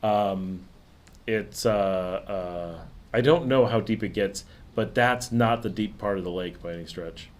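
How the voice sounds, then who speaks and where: A middle-aged man hosting a livestream, chatting calmly in an unhurried, mellow way, his voice a little raspy tonight. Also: lowish voice. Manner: calm, unhurried, mellow talker. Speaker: livestream host. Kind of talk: casual chat.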